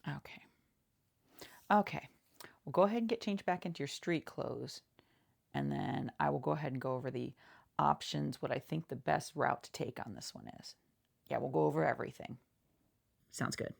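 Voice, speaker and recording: A woman speaking softly and casually in an ASMR roleplay as a seamstress, halting and often repeating small reassurances in a murmur. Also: very deep voice, creaky. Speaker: ASMR creator playing a seamstress. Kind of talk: soft-spoken ASMR roleplay.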